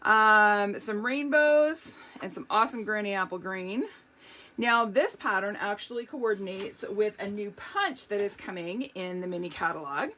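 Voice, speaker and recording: A hoarse woman hosting a live craft demonstration, chatty and upbeat, greeting viewers by name as she works. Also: medium pitch, moderate pace, pushed and bright. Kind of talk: live craft demo chat.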